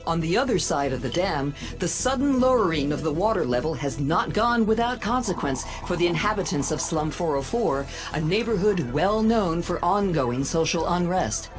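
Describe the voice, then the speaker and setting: A woman's voice: lowish voice, lively intonation, normal speed, few pauses, close to an everyday voice, poor audio. A woman playing a composed TV news anchor in a video game, delivering a formal, scripted bulletin. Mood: formal, grave. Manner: formal, factual newsreader delivery. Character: composed female TV news anchor in a futuristic city. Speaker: news reporter. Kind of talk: scripted broadcast news report. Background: prominent music.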